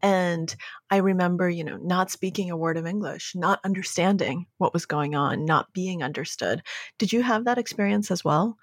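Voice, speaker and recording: A woman's voice: on the low side, normal speed, dry recording, studio quality. A woman with an American accent, speaking as an interview guest in a reflective, articulate, conversational storytelling way. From born in Moscow, raised in the United States.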